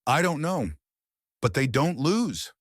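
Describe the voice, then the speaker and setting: A man's voice: fairly high pitch, big pitch swings, slow pace, no echo, clean studio sound, voice pushed up, full character voice. A man voicing a frightened young college athlete with a breathless, shaken manner in a story narration. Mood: grave fear.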